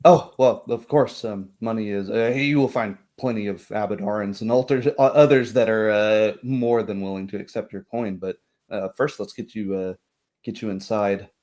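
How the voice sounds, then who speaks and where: Man playing hesitant, low-voiced town guard. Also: steady tone, darkish tone, natural voice. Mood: halting, reassuring. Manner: hesitant, halting, folksy. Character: greying, hesitant small-town guard deputy, friendly and modest.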